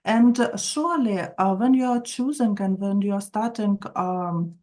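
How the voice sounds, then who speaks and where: A woman from Ukraine with a Ukrainian accent teaches an online session as an instructor, speaking haltingly with frequent fillers. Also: mid-range voice, darkish tone. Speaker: marketing instructor. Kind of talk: online training session, explanatory lecture.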